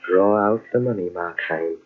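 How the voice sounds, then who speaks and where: An actor playing a sly inner voice of evil that tempts and commands in old-time radio mystery style. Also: male voice, deep voice. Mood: urgent, commanding. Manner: insinuating, slow commanding repetitions. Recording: dull lo-fi old radio cassette recording.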